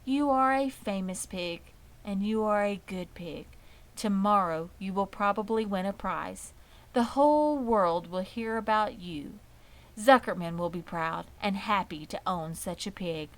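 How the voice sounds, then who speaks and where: A woman voicing a wise, motherly female spider in a storybook, gentle, measured and reassuring. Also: medium pitch, lightly acted, low-quality recording.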